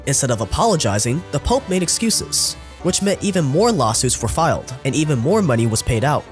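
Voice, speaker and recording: A man's voice: mid-range voice, sing-song, fairly quick, faint music behind. A man narrating a scripted documentary in a wry, sarcastic way, his voice sounding a little sick.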